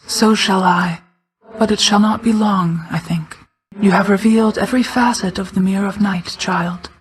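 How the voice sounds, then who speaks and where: A woman playing an ancient, regal mother goddess of night in a video game, speaking in a formal, measured, restrained way, hushed at times. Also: mid-range voice, close to an everyday voice, rough recording. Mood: reassuring, somber, then measured, encouraging. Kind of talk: scripted video game character acting.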